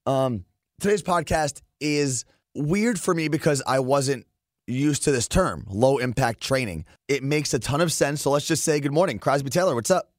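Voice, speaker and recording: A man hosting a fitness podcast in a casual, rambling, upbeat way, his voice a little hoarse. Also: medium pitch, moderate pace, no echo, studio quality. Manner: rambling, upbeat, self-deprecating. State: losing his voice, a bit hoarse. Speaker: podcast host.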